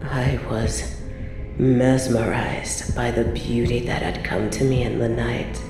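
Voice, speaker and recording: A woman playing an evil, possessive medieval queen in a roleplay audio drama, haughty and theatrical with drawn-out, breathy asides. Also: a bass voice, flat delivery, roomy sound, music in the background. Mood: smitten, teasing. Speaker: voice actor in a scripted roleplay audio.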